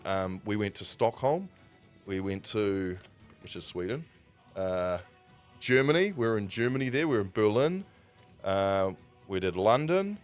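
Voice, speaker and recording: A man with a New Zealand accent telling stories casually as a livestream host, in an animated raconteur's way with dramatic pauses. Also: fairly low pitch. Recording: music playing behind the voice. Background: background music.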